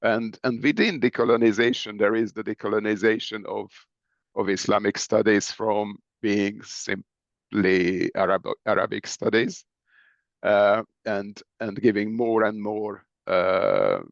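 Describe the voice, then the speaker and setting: A man giving remarks at an online seminar, speaking haltingly and often repeating his words. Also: on the low side, creaky, no echo. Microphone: video call. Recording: muffled, dry video-call audio.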